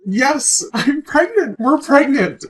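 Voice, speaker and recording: A woman's voice: high-pitched, sing-song, takes it slowly, exaggerated voice, rough recording. A woman in her twenties playing a hurt, pleading young woman who has just learned she is pregnant, emotional and reproachful. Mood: excited. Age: 20s. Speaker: pregnant girlfriend. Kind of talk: scripted relationship drama.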